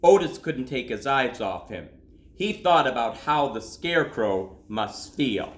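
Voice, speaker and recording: A man, a school principal, reading a children's story aloud expressively, with dramatic pauses and drawn-out words. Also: medium pitch, sing-song. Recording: roomy echo.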